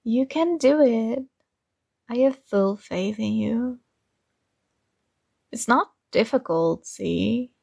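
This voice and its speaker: A woman's voice: a little high, gravelly, husky, dry recording, clean studio sound, full character voice. A woman playing a possessive, sweetly controlling princess. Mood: coaxing. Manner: soft, slow, coaxing and teasing. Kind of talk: ASMR roleplay.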